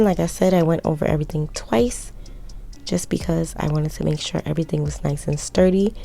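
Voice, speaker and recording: A young woman with a hoarse, raspy voice narrating a sewing tutorial in a casual, rambling, self-mocking way. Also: mellow. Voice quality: raspy, strained low voice. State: hoarse from projecting through a mask.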